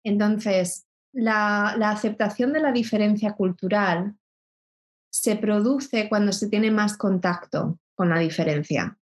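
A woman's voice: medium pitch, slow. Woman explaining thoughtfully. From Spain. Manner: thoughtful, explanatory, frequent restarts. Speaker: intercultural educator. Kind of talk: reflective interview talk.

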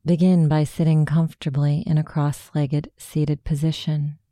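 A woman narrating a guided meditation in a slow, hushed, soothing way of instructing. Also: deep voice, little pitch movement, no room sound.

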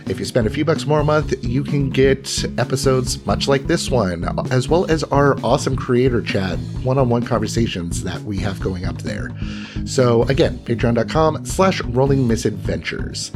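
A man's voice: mid-range voice, lively intonation, normal speed. Casual man hosting, music behind him. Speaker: podcast host and game master. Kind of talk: casual intermission announcement and game narration.

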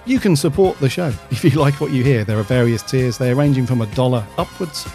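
A man's voice: mid-range voice, fairly quick, dark tone, faint music behind. A man co-hosting a podcast in casual, easygoing, friendly chat. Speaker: podcast co-host.

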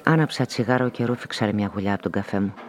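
A man performing a reflective woman narrator in a literary radio drama, speaking in a measured, introspective way. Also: fairly low pitch, natural voice. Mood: reflective, wistful. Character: reflective, inquisitive woman narrator who smokes, unmarried. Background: music.

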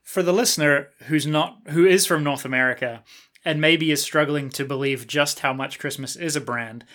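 A New man hosts a podcast, with a bright, fairly high voice. He chats casually and warmly affirms his guest, dropping in playful, humorous asides. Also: moderate pace. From New Zealand. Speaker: podcast host. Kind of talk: casual interview chat.

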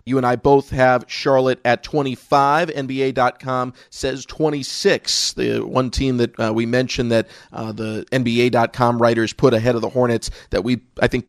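A man's voice: medium pitch, no echo, studio quality. A man hosting a sports podcast, talking as a basketball play-by-play broadcaster.

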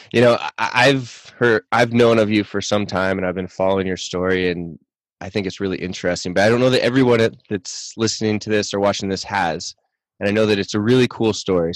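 A man's voice: deep voice, no echo. A man hosting a podcast, speaking casually and informally as he introduces and interviews. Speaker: podcast host.

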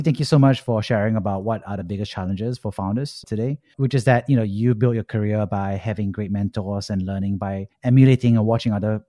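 A man's voice: fairly low pitch, warm tone, no echo, studio quality. A man hosting a podcast, upbeat as he asks questions and sums up the conversation. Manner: upbeat, summarizing and thanking. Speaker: podcast host.

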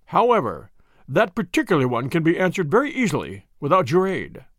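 A man's voice: a little high, huge pitch swings, a little fast, no echo, studio quality, heavily acted. A man voicing a haughty, cunning old professor in an audiobook story, formal and imperious, with a fierce temper. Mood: angry asperity. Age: older. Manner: formal, imperious, sharp questioning. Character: haughty old male professor, cunning, turning to screaming rage.

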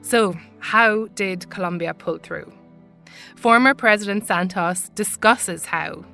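A woman with an Irish accent, hosting and narrating a podcast intro in an earnest, measured way. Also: mid-range voice, gravelly, pushed and bright, faint music behind. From Ireland. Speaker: podcast host. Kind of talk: scripted narration.

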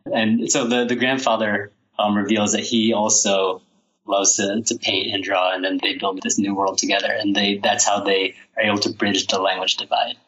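A man's voice: on the low side, little pitch movement, normal speed, fairly bright tone, muffled recording. A man being interviewed about his picture book, answering with small restarts and repeated words. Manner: hesitant, repeats words, laughs easily. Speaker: author, guest.

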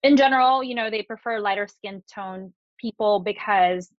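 A woman's voice: medium pitch, moderate pace, no echo, lo-fi sound. A woman from the Philippines talking casually, with many fillers and restarts. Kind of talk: casual conversation.

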